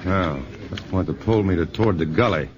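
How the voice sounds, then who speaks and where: A man's voice: deep voice, fast talker, noisy background, close to an everyday voice. An actor playing a polite, reserved male scientist in a 1950s radio drama. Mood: courteous. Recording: dull old radio recording, faint music.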